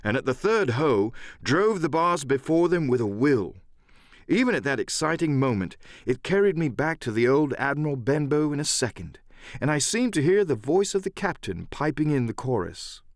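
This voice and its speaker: A man reads an adventure story aloud as an audiobook narrator. His pitch moves expressively with a theatrical storyteller's delivery, and the sound is dry and close. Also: very animated, normal speed, dry recording. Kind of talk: dramatic scripted narration.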